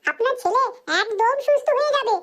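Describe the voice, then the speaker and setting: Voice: very high-pitched, brisk, loud music behind the voice. A performer voicing a cheeky, crude young hospital assistant in a comedy cartoon dub. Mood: brisk, blunt. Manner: cheeky, foul-mouthed.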